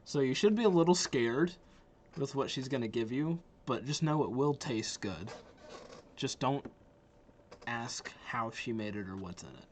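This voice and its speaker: A man's voice: medium pitch, animated, measured pace, a little hiss or hum. A man giving easygoing, chatty reaction commentary as a video creator watching game trailers.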